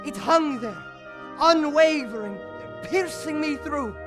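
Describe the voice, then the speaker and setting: A man's voice: very high voice, expressive, music in the background, heavily acted. A male actor playing a proud, envious court composer in a formal, theatrical stage monologue. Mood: awed, troubled. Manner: formal, grandiose, deliberate with dramatic pauses.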